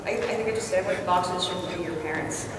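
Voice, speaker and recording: A woman's voice: fairly low pitch, quick pace, distant mic. A young woman speaking casually as a panelist, chatty and laughing often, with plenty of fillers. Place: large convention hall with a live audience. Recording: echoey convention hall, crowd noise.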